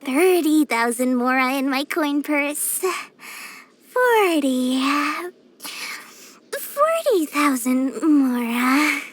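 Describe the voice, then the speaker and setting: A woman's voice: sits high, big pitch swings, drawn-out words, long pauses, heavily acted. A woman voicing a small, cheerful, greedy young female merchant for a video game, with theatrical, sing-song sales patter. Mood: playful, greedy. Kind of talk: animated video game voice acting.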